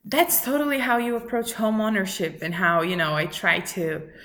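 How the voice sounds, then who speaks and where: A woman, introducing herself to a class in an earnest, measured way with many pauses and fillers. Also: mid-range voice, fairly even intonation, a bit bright. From Brazil. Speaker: student. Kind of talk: recorded self-introduction for a class.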